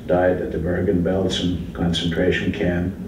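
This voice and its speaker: A man's voice: low voice, monotone, moderate pace, close to an everyday voice. A man acting on stage as a survivor, reciting his lines plainly and steadily. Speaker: stage actor playing a survivor. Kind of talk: staged theatrical narration. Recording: echoey hall from far off, with hiss.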